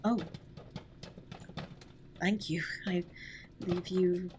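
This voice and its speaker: A woman's voice: fairly low pitch, steady tone, drawn-out words, noisy background. A woman playing a formal, reserved but tender alien spaceship captain in a scripted comfort audio roleplay, speaking with precise, stilted politeness. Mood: polite, content.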